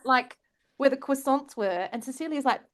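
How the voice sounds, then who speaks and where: A woman's voice: high-pitched, normal speed. An Australian woman chatting casually with friends as a podcast co-host. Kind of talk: casual chat.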